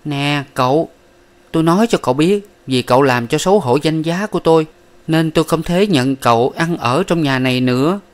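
A man voicing a sharp-tongued, scornful young woman in a storybook reading, scolding and haughty. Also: fairly high pitch, fairly quick, pitched above the performer's own voice, close to an everyday voice.

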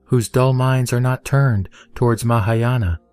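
Man, low mellow voice, steady scripture reading, dry recording, soft music. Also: unhurried, clear, resonant tone, no echo. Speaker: sutra reciter. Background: soft background music.

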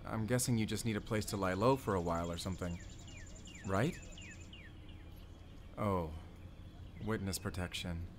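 A man's voice: sits low, normal speed, long pauses, slight background noise. A man playing a hurt, pleading, lovelorn young man in an audio roleplay. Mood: concerned, deflated.

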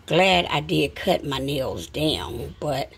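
Middle-aged woman vlogger, deep gravelly voice, chatty and rambling, short of breath, phone mic with faint noise. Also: big pitch swings, slow. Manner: rambling, chatty, repeats herself. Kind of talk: casual home cleaning vlog. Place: home kitchen, indoors. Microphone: phone camera, speaking off-screen.

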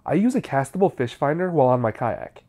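A man's voice: medium pitch, big pitch swings, fast talker, mellow. A man, narrating a how-to video in a scripted, explanatory style.

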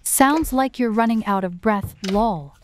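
A woman's voice: fairly high pitch, unhurried, close to an everyday voice. A woman playing a chatty, bubbly, apologetic teenage girl in an amateur scripted storytime drama. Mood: cheerful. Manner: chatty, eager. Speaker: voice actor in an animated storytime drama. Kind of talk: scripted amateur roleplay dialogue.

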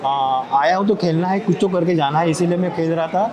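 A man in his thirties with a Mumbai accent, explaining things in an interview in a halting way, restarting often. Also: on the high side, noisy background. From Mumbai, India. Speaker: competitive bodybuilder, interviewee. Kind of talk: interview answers. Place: large busy indoor gym. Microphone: clip-on lapel mic. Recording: loud music and crowd behind voice. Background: loud music, crowd.

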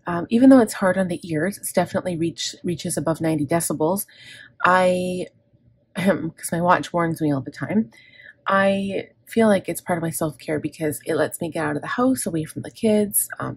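Woman, middle-aged planner vlogger, gravelly and husky throat, candid rambling chat with self-corrections. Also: fairly low pitch, a little mellow. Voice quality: gravelly, scratchy. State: scratchy, gravelly throat from inhaler. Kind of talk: casual plan-with-me chat. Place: indoors at a desk.

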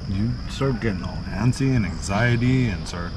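Low-voiced man chatting at a campsite. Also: normal speed. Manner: relaxed, chatty, laughs easily. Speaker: vlogger. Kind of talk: casual travel vlog narration and chat. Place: outdoors at a campsite picnic table. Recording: outdoor noise with insects.

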